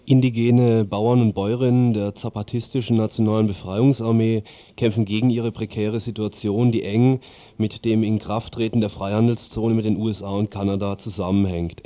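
A man's voice: on the low side, dark tone. A man presenting radio news, reading a prepared summary.

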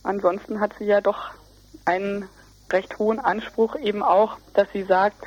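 A young woman speaks as a student representative in an interview. Her delivery is flat and hesitant as she searches for words. The radio recording is somewhat muffled and lo-fi. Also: medium pitch, normal speed. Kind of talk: explanatory interview answers.

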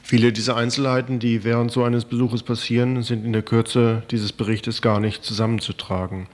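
A man's voice: mid-range voice, flat delivery, fairly quick. A man narrating a scripted news report as a radio reporter.

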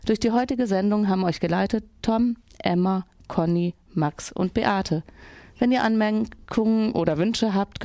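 Woman doing radio announcements, mellow voice, kinda halting, really dry sound. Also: mid-range voice, no room sound. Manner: halting, reading out dates. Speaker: radio host. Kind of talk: informal community radio announcements.

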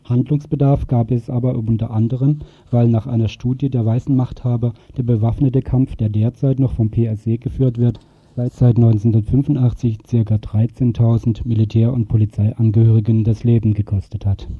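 A man's voice: medium pitch, little pitch movement, fairly quick, breathy edge, dry recording. A man reading a scripted radio news report as a reporter. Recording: muffled phone-like narrow band, dry.

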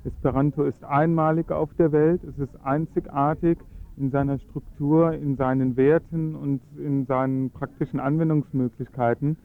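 A man's voice: fairly high pitch, fairly even intonation, moderate pace, narrow, tinny audio. A man speaking German as his first language, earnestly explaining his views in a radio interview with frequent hesitations. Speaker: Esperanto advocate.

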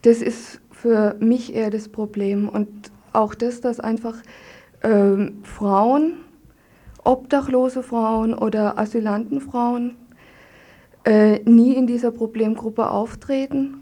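A woman guest on a radio discussion, speaking in a studio. Her voice sits fairly high yet has a dark, soft tone, and she sets out her points plainly. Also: steady tone, takes it slowly. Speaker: studio guest, member of an activist group. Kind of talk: reading a letter and giving her view in a radio discussion.

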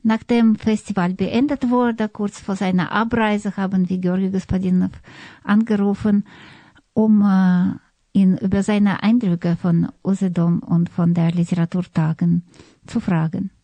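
A woman hosts a radio programme in a mellow voice of medium pitch. The recording is close and dry. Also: fairly even intonation, unhurried, dry recording. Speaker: radio host. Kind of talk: radio presenting.

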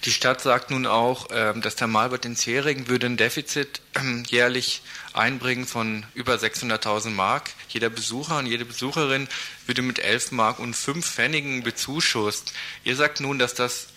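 A male radio reporter with a mid-pitched voice. He delivers scripted local news narration and interview questions. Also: fairly even intonation, normal speed, sharp tone, slight background noise.

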